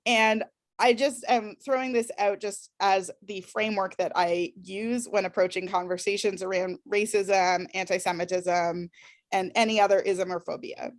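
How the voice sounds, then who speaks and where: A woman's voice: medium pitch, no room sound. A woman facilitating a discussion workshop, explaining ideas thoughtfully with frequent ums and restarts.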